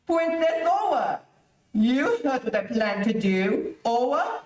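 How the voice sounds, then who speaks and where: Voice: high voice, animated, slow, darkish tone. An actor playing an imperious, stern rebel prince in an old radio serial, declaiming commands. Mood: grand, commanding. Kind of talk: 1930s radio serial acting. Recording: echoey room, distant microphone.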